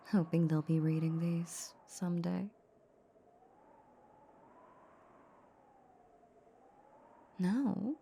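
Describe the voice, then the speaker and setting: A woman playing a possessive, seductive vampire in a roleplay audio drama, teasing her captive with menacing sweetness in a breathy near-whisper. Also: on the low side, warm tone, a little hiss or hum. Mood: teasing, mock pity.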